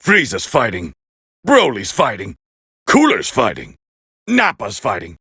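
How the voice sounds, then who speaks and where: A man voicing a proud, scornful alien warrior as a fighting game commentator, gravelly and haughty in an English anime dub style. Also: medium pitch, wildly swooping pitch, unhurried, no echo, clean studio sound, exaggerated voice. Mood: commanding, disdainful. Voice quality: gravelly, raspy. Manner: haughty, forceful, clipped exclamations.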